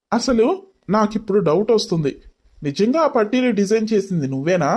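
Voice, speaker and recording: A man's voice: sits high, a little mellow. A man playing an irritated, taunting young businessman in a dramatised story, scolding and mixing in English words.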